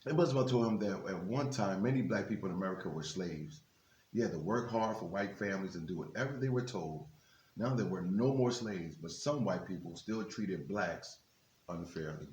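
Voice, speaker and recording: A man's voice: sits low, expressive, moderate pace, darkish tone, slight background noise. Echoey man reading to children. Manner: encouraging, addresses young listeners directly with informal asides. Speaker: guest reader at a children's book reading for a basketball team's literacy program. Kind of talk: reading a children's book aloud with chatty asides to kids. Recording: echoey, reverberant room.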